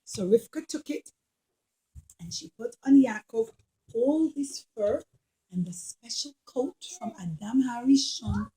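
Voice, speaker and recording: A woman's voice: a little high, animated, a little slow, dark tone, low-quality recording. A woman telling a story to young children as a puppeteer, explaining and repeating, often checking understanding with little questions.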